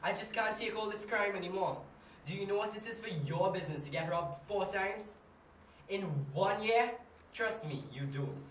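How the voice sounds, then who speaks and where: A man's voice: sits high, moderate pace, a bit bright. Echoey, frustrated Trinidadian businessman, acted by a man. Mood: exasperated, fed up. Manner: emphatic, exasperated, with rhetorical questions. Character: frustrated, weary businessman fed up with robberies. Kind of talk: dramatic monologue, amateur stage acting. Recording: echoey room, distant mic, faint noise.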